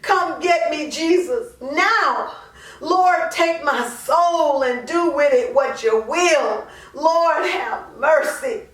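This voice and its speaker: A woman performing a dramatic monologue as an anguished, guilt-ridden mother pleading to God, impassioned and drawn-out. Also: high voice, fairly bright tone, pitched above the performer's own voice. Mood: desperate pleading. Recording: roomy echo, distant microphone.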